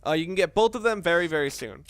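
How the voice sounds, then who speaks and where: A man's voice: on the high side, animated, fast, crowd noise. A man giving casual, hesitant, stop-start commentary while playing a video game. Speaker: let's play commentator. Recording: clearly noisy home recording.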